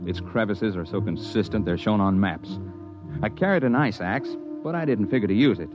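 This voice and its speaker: An actor playing a steady, hearty mountain climber who tells his own tale in a 1950s radio drama. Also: fairly low pitch, normal speed, over music, lightly acted. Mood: steady, reassuring, then measured, suspenseful. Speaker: narrator and character. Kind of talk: 1950s radio drama, first-person narration and dialogue. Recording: dull band-limited 1950s radio recording.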